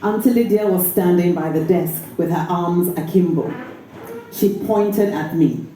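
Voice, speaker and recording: A woman actor giving an expressive, deliberate dramatic reading from a novel. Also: lowish voice, recorded from a distance. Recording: very echoey room, faint noise.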